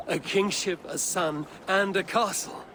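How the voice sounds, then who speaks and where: Steady Viking warrior, slightly high-pitched. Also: male voice, a little slow, background noise. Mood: fond, teasing. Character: steady, wry Viking warrior clan leader. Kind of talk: video game dialogue. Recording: music behind the voice. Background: music.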